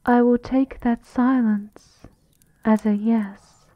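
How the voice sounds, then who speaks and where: Woman acting a slow, devoted castle servant, slightly muffled. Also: fairly high pitch, fairly even intonation, dry recording, voice pushed up. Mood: patient, dutiful. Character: devoted, formal female castle attendant serving a countess. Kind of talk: ASMR roleplay acting.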